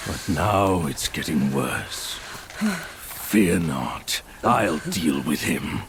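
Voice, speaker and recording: Deep-voiced scheming villain, slow and menacing, swings wildly in pitch, loud noise behind. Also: male voice, sing-song, exaggerated voice. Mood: cold. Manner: commanding, cold and menacing. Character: scheming ruthless male master villain.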